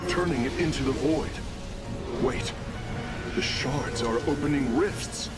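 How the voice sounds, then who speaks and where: A mid-pitched, analytical alien engineer, acted by a man, reporting in a precise and measured way. Loud music plays behind this sci-fi game character. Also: natural voice. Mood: concerned. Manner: measured, technical. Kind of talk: sci-fi video game cutscene acting.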